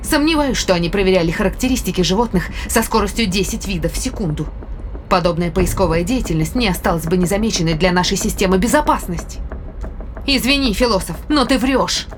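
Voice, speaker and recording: Female crew member, played by an actress: medium-pitched, demanding, exact, sceptical sci-fi audio drama read over music. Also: lively intonation, brisk, lightly acted. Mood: sceptical, challenging. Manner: questioning, precise, challenging.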